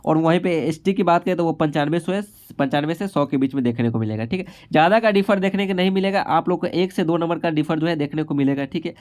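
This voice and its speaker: A man's voice: a little high, quick pace, hardly any pauses, darkish tone. A man explaining figures informally as an instructor, repeatedly adding a short confirming tag to his phrases. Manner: repetitive confirming tag after nearly every phrase. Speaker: exam-prep instructor. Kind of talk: informal explainer of exam cut-offs and statistics.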